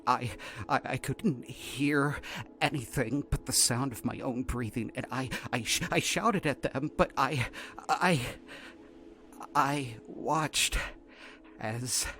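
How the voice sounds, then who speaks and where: A man playing a shaken, earnest researcher in an audio drama, giving halting, haunted, stammering testimony. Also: mid-range voice, gravelly, a bit bright. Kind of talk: dramatic first-person audio drama narration.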